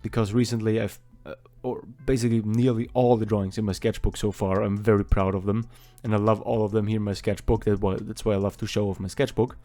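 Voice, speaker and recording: A young man talks in a loose, rambling way, poking fun at himself as he goes. His voice sits low and sounds soft and mellow. Faint music plays underneath. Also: little pitch movement, quick pace, few pauses. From Austria. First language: German. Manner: rambling, self-deprecating, chatty. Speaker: artist vlogger. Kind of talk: casual voice-over while drawing.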